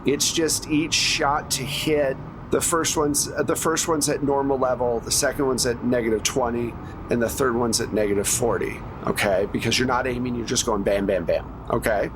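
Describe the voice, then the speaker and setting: A man acts as game master for a tabletop game. His voice sits at a middle pitch as he narrates conversationally and talks players through the rules. There is clear noise behind him. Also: fairly even intonation, normal speed. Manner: conversational, narrates in second person. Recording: noisy recording with clear background noise.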